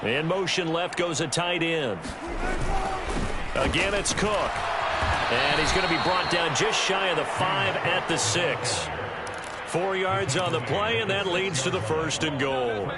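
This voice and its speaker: An actor playing a brisk, upbeat football play-by-play announcer in a video game, with an American accent and clipped, punchy delivery. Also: male voice, on the high side, lively intonation. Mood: brisk, matter-of-fact. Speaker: play-by-play sports commentator. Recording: loud stadium noise behind. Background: stadium ambience of a football video game.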